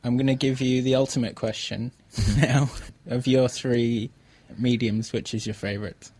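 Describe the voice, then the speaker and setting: Man hosting, medium-pitched voice. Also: steady tone, moderate pace, darkish tone, breathy edge. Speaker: podcast host, interviewer. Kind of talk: casual interview.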